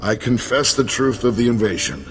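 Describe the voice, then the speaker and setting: An actor playing a proud, earnest, defeated Republic commander in a video game, with a grave manner. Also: male voice, medium pitch, close to an everyday voice. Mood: grave, pleading. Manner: slow, grave, pleading. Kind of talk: dramatic video game dialogue. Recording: long reverb, music behind.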